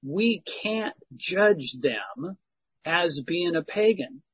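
Brief: male study leader, husky and unhurried, earnest pastoral tone. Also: a little high, lively intonation, no room sound, muffled recording. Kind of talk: church discussion, preaching.

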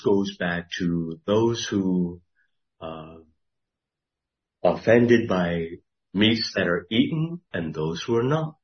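Low voiced man at church group, halting, muffled recording. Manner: slow, halting, earnest. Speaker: church member in discussion. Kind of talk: personal testimony in group discussion.

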